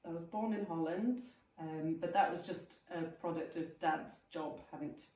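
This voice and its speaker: A Welsh woman in her thirties talks casually to a village group, chatty and self-deprecating. Her voice is low and mellow. She was recorded from some distance in a very echoey hall. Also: normal speed, slight background noise. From Wales. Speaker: guest speaker. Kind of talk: informal talk to a local group.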